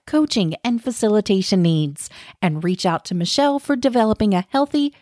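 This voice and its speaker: A woman reading a scripted podcast announcement as host, in measured, careful phrases. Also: mid-range voice, a little mellow, dry recording, studio quality. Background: faint music.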